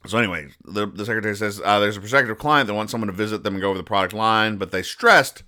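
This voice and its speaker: A male podcast host with a fairly low, bright and forceful voice. He explains comic strips conversationally but with mock seriousness, wandering into pedantic digressions and acting out bits of dialogue. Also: rapid-fire.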